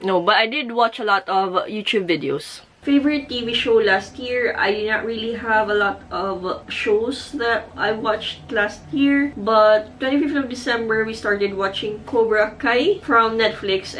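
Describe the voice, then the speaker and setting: A woman's voice: mid-range voice, unhurried, hardly any pauses, fairly bright tone, slight background noise. A woman in her thirties with a Filipino accent, chatting casually in a home vlog from her bedroom and switching between Tagalog and English. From Philippines. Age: 30s. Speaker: vlogger.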